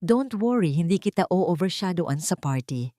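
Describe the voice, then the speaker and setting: A woman's voice: on the low side, lively intonation, normal speed, warm tone, dry recording, studio quality, close to an everyday voice. A woman voicing a sly, smug younger mistress in an audio story, coy and condescending with false sweetness. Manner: coy, Taglish-mixing, condescending.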